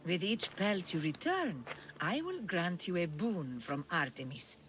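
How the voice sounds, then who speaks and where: A woman playing a sly, commanding huntress in a video game cutscene, measured and teasing, with faint insects behind her. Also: fairly low pitch, sing-song, fairly bright tone, a little hiss or hum. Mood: imperious. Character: sly, commanding huntress devoted to a Greek goddess.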